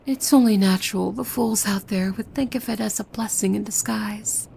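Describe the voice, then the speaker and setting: A woman playing a possessive demon maid in an ASMR roleplay, devoted and softly menacing, with hushed formal speech that often slips into breathy whispers. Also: mid-range voice, normal speed, slight background noise, poor audio. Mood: devoted, softly sinister.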